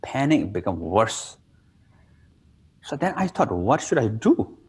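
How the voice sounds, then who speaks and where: Nepali-accented man, lively husky voice. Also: on the low side, slow pace. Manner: simple broken English, playful, laughs at himself. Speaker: meditation teacher. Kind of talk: relaxed interview conversation.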